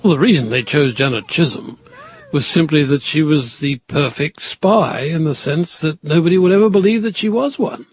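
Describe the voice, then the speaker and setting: Husky, fairly high-voiced man explaining. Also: moderate pace. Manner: conversational, explanatory. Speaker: expert commentator. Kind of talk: documentary interview commentary.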